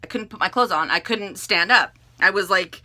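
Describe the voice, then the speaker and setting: A woman vlogger telling a story to camera in a loud, animated, rambling way. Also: medium pitch, moderate pace. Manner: animated, loud, not soft-spoken; rambling with many fillers and restarts.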